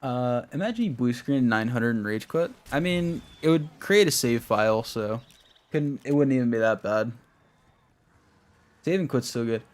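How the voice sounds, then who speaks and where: A man's voice: mid-range voice, animated, moderate pace. A young man streaming a game, chatting casually with his viewers in a rambling way while he plays. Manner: rambling, talks to chat while playing.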